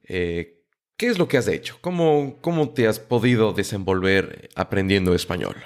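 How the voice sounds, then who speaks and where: Low-voiced podcast host asking questions. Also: male voice, animated, normal speed. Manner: rambling, reformulating questions mid-sentence. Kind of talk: casual interview.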